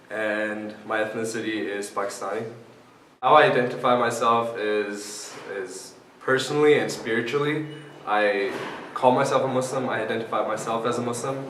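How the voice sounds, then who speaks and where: Echoey young man, slightly low voice. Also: fairly bright tone. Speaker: student interviewee. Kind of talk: personal interview answer. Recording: echoey room, distant mic, faint noise.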